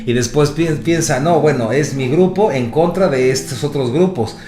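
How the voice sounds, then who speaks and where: A man's voice: on the high side, normal speed, dark tone. Reflective man in echoey room. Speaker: podcast co-host. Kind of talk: casual panel discussion.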